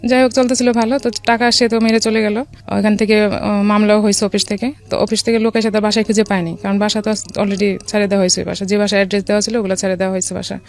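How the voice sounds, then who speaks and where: A woman's voice: mid-range voice, little pitch movement, rapid-fire, darkish tone. A middle-aged woman with a Bangladeshi accent tells a personal story in a radio interview, conversationally, with some English words mixed in. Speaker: guest telling her life story. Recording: music behind the voice. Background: background music.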